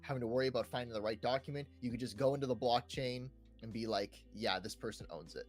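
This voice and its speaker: A man's voice: mid-range voice, fast, faint music behind. A young adult man co-hosting a casual gaming podcast, talking hesitantly, repeating words and often checking for agreement. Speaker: podcast co-host. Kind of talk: casual chat about video games.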